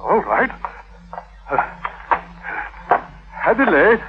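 Lovestruck, highish-voiced, flustered suitor. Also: male voice, very animated, drawn-out words, exaggerated voice. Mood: flustered, eager. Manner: hesitant, pleading, proper. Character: lovestruck bearded greengrocer suitor, meek, earnest and flustered. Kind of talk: 1950s radio drama acting. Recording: noisy, muffled vintage radio recording.

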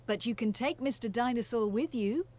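A woman playing a kind, sensible mother pig in a children's cartoon game, gentle and explanatory. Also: fairly high pitch, moderate pace, lightly acted. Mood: kindly.

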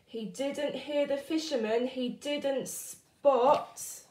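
A woman reading a children's picture book aloud with expressive, deliberate, rhythmic narration. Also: sits high. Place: indoor room, book on wooden surface. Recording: roomy indoor echo.

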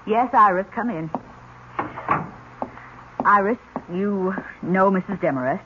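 An actress playing a formal, disapproving nun who runs an orphan home in a 1970s radio drama. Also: female voice, mid-range voice, takes it slowly, natural voice. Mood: stern. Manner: formal, firm. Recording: muffled, noisy vintage radio recording.

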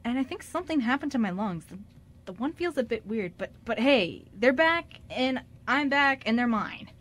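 Radio drama: flustered, sincere young guy woman performer, halting and stammering, lightish high register. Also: expressive, fairly bright tone. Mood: relieved. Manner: stammering, hesitant. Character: earnest flustered young man, revived ghost. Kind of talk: amateur radio drama acting.

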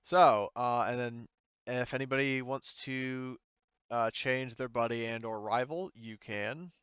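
A man's voice: mid-range voice, fairly even intonation, unhurried, fairly bright tone, smooth, no echo, muffled recording. A man speaking informally as game master, chatting through a tabletop session in a loose, hesitant way. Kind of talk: casual tabletop game chat.

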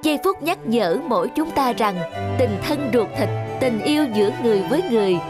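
A woman's voice: fairly high pitch, expressive, a little fast, lightly acted. A woman voicing a formal, heartfelt television reporter in a cartoon, delivering a news report. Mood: solemn, warm. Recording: music behind the voice.

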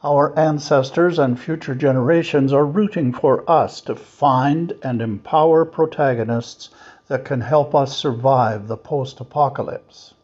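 A man's voice: medium pitch, measured pace, darkish tone, dull audio. A man reading quoted passages from a script in a church service.

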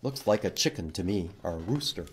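A man in his fifties hosting a children's storytime, reading and teaching in an animated, emphatic way for young children. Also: low voice, brisk, breathy edge. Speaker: library storytime host. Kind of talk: children's read-aloud and teaching. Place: indoor public library room.

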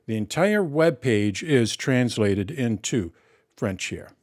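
Man explaining step by step, lively pitch. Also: takes it slowly, no room sound, studio quality. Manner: step-by-step explaining, asks and answers own questions. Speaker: tech tutorial host. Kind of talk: screen-recorded tutorial walkthrough.

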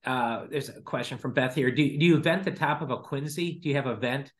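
A man's voice: medium pitch, fairly quick. A middle-aged man hosting an informal webinar, putting questions to a guest over a video call. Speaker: host relaying audience questions. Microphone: video call microphone.

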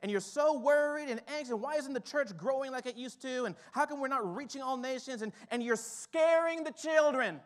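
A man in his thirties preaching a sermon from a church stage on a headset mic, moving from quiet reflection to fired-up exhortation. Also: very high voice, a little fast, few pauses. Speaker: pastor. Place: church stage with drum kit, indoors.

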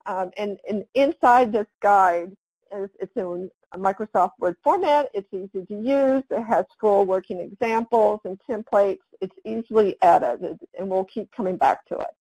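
A woman presenting an online webinar, talking through slides and often pausing with "um". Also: mid-range voice, a little mellow, breathy edge, dry recording, low-quality recording. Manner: halting, with frequent "um" fillers.

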